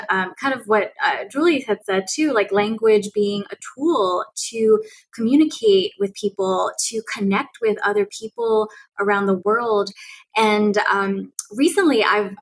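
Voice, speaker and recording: A woman who grew up speaking Cantonese, answering as an interview guest in an earnest, steady way, with frequent ums and restarts. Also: medium pitch, rough recording. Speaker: guest researcher.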